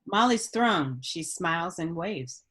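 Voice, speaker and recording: A woman's voice: fairly low pitch, expressive, takes it slowly, a bit bright, husky. A woman reading stage directions as scripted narration at a table read.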